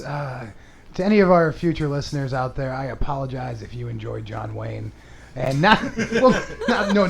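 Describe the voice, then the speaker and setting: Male comic podcast host, slightly high voice, profane and self-deprecating, wandering casual delivery. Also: gravelly, a little hiss or hum. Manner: rambling, self-mocking, profane. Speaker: podcast host and stand-up comedian. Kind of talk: casual, crude comedic podcast chat.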